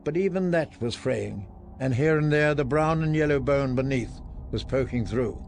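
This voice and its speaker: A man giving a dramatic, deliberate narration of fantasy fiction. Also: mid-range voice, a little mellow, faint music behind, close to an everyday voice. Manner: slow, deliberate storytelling.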